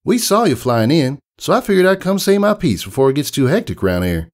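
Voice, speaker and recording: A man's voice: fairly high pitch, big pitch swings, a little fast, a little mellow, pitched above the performer's own voice. A man voicing a friendly, hardworking country mare who sells apples, talking in hearty, folksy rural slang.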